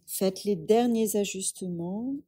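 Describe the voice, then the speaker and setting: A woman guiding a yoga nidra meditation as a teacher, calm and unhurried, in soft breathy short phrases with long pauses. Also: mid-range voice, no echo. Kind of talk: guided meditation.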